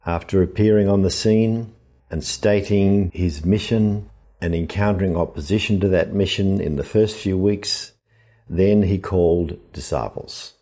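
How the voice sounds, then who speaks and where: A man giving a reflective scripture sermon as a priest, in a measured, deliberate, explanatory manner. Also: deep voice, dark tone.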